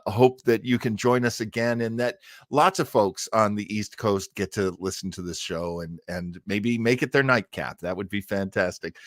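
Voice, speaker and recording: A man's voice: mid-range voice, a bit bright, no echo. Enthusiastic man chatting, close dry sound. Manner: enthusiastic, rambling. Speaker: host of an old-time radio listening show. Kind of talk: casual livestream chat, replying to viewer comments.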